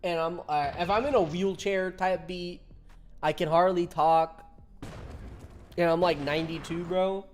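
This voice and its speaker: A man's voice: high voice, fairly even intonation, measured pace, faint music behind. A man in his twenties chatting casually with viewers while streaming a game, laughing often and reading chat aloud. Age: 20s.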